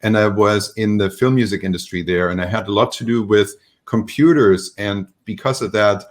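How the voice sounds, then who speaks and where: A man from Germany, a guest on a remote interview, telling his story in a relaxed, rambling way with many fillers. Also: fairly low pitch, normal speed. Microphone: video call.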